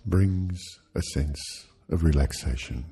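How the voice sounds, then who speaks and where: A man leading a guided sleep hypnosis, speaking soothingly and gently in short, halting phrases with long pauses. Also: extremely low pitch, breathy edge, faint music behind.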